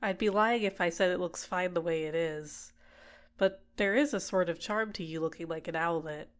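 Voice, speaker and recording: A woman playing a doting, possessive villain in an ASMR roleplay, speaking in a gentle, coaxing, soothing way. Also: on the low side, normal speed, lightly acted. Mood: teasing, doting. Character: doting, possessive female villain caring for a captive. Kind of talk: ASMR roleplay, personal attention.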